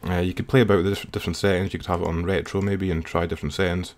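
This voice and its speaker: Male music producer, low register, informal and slightly hesitant, talking through a screen-recorded software tutorial. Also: level tone, rapid-fire. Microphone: voice-over recorded while working at computer.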